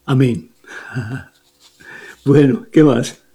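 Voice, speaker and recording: A man's voice: medium pitch, very slow, vocal fry, mellow, breathy edge. A man, a priest, explaining faith on a radio program in a teaching tone, often asking listeners to pay attention.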